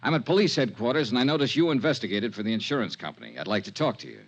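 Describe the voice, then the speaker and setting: A man's voice: medium pitch, sing-song, rapid-fire. An actor playing a cool, skeptical male insurance investigator in a 1950s radio drama, clipped and matter-of-fact. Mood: businesslike. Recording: muffled old radio broadcast.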